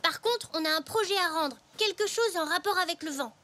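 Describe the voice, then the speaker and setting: A woman playing an eager, cheerful little boy zebra in a children's cartoon, excitable and curious. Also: very high-pitched, a little fast, no echo.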